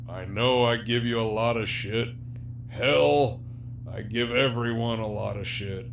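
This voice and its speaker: A man's voice: medium pitch, unhurried, vocal fry. A man acting in an audio drama as a jaded, sardonic, despairing prisoner villain with a profane, wry, teasing drawl. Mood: sincere, regretful. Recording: faint background hum and noise.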